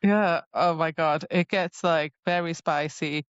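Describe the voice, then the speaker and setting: A woman's voice: deep voice, fairly even intonation, measured pace, smooth, no room sound, muffled recording. A woman co-hosting a podcast, chatting casually about a fantasy romance novel with giggly, playful reactions and mock quotes. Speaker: podcast co-host.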